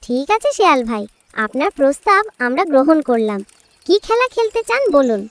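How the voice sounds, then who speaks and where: A performer plays a little cartoon bird with a very high, childlike voice. The delivery is chirpy and lively, earnest and curious, like a friendly young storybook creature. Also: brisk, no room sound.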